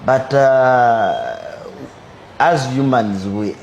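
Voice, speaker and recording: A young Ugandan businessman in his twenties, answering interview questions in a measured, reflective way. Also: medium pitch, very animated, vocal fry, a little mellow, highs cut off, a little hiss or hum. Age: 20s. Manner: measured, philosophizing, repeats "for me, I believe". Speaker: businessman guest.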